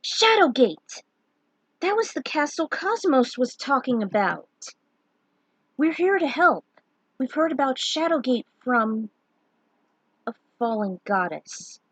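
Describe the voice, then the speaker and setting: A woman's voice: high-pitched, sing-song, slow pace, pauses often, a bit bright, husky, no room sound, pitched above the performer's own voice, exaggerated voice. A woman voicing earnest, weary but determined heroes on a fantasy quest.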